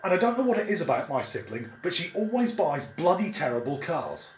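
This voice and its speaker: A man's voice: a little high, big pitch swings, a little fast. A man from Norwich with a generic southern English accent, giving crude, sardonic commentary while driving. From Norwich, England. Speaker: vlogger. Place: inside a car on the road. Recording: distant mic under loud road noise.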